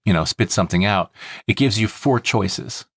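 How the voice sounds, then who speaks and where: Low-voiced man explaining on a podcast. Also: moderate pace, breathy edge, dry recording, clean studio sound. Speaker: podcast host. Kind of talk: casual explanatory podcast talk.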